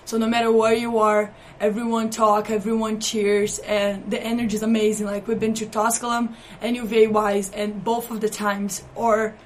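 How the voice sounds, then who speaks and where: Young brazilian woman, college athlete, kinda high voice, talks pretty monotone. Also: moderate pace, hardly any pauses, a little hiss or hum. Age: young adult. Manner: slightly non-native phrasing, earnest. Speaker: college volleyball player. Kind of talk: interview answers.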